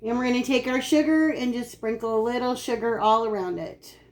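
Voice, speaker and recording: A woman hosting a home cooking video, her voice dry and raspy today, chatting casually as she explains each step. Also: fairly high pitch, fairly quick, a little mellow. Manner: chatty, explains steps while working. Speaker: cooking channel host. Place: home kitchen.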